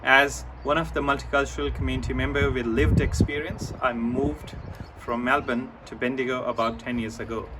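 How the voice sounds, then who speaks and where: A man from India giving a prepared self-introduction as a new board member. He speaks in a measured way and goes through his points one by one. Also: medium pitch, steady tone, recorded from a distance, very noisy.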